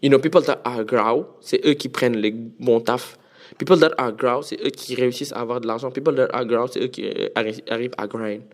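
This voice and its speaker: A man's voice: mid-range voice, brisk. A man, hosting a casual solo podcast monologue, hopping between French and English with frequent restarts. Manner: switches between French and English mid-sentence; frequent restarts and repeated words. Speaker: podcast host.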